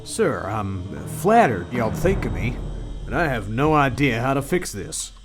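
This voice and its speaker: A man's voice: mid-range voice, very animated, a little slow. A man voicing a humble, plainspoken engineer in an easygoing drawl. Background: music.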